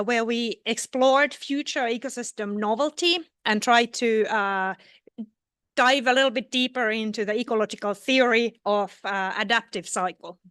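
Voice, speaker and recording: A woman's voice: fairly high pitch, fairly bright tone, dry recording, studio quality. A woman from Finland giving a scientific conference talk, hesitating often with fillers and restarts. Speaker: conference speaker. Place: conference hall. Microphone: no microphone.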